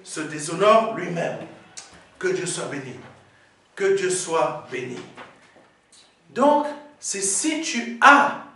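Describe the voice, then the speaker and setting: High, lively preaching man, echoing. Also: slow, long pauses. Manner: preaching, punctuating with "Amen"; teaching, explaining Greek words. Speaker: pastor. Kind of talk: sermon. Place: church sanctuary. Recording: very echoey hall, mic far off.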